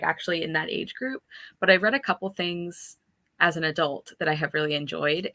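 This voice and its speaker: A woman chatting casually as a panel guest, with an eager, excitable way of talking. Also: lowish voice, vocal fry, no room sound. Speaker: panel guest on a book live show. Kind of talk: casual chat.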